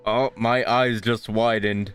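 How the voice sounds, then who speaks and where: Man, young adult, gaming commentary, dry asides, slow, lowish voice. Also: steady tone, fairly bright tone, soft background music. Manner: laid-back, dry, short asides. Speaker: gaming YouTuber. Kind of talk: casual gameplay commentary.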